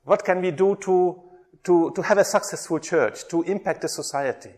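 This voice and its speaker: A man's voice: high voice, normal speed, darkish tone. Middle-aged Hungarian man, slightly raised voice, running an interactive workshop with lots of ums. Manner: chatty, frequent hesitations, asks the audience questions. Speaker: workshop presenter, theology lecturer.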